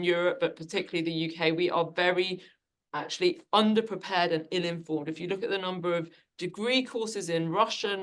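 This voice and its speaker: A woman moderating a panel discussion, talking conversationally with frequent restarts. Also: fairly low pitch. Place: lecture hall.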